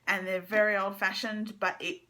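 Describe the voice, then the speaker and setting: A young woman giving a casual, halting book review to camera, informally trailing off and circling back. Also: medium pitch, little pitch movement, bright, forceful tone. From Australia.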